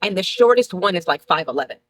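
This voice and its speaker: A woman's voice: lowish voice, lively intonation, fast talker. A woman in her late thirties telling blunt, laughing stories as a guest in a candid casual talk show chat. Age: 30s. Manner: brash, laughing, blunt storytelling.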